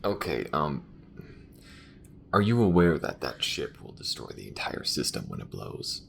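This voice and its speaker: A man playing a weary, grudging but caring spaceship crewman in an audio roleplay, talking in a halting, conversational way. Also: extremely low pitch, very animated, gravelly, a bit bright, slight background noise, full character voice. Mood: wary, concerned. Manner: halting, conversational, muttering at times. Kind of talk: intimate audio roleplay acting.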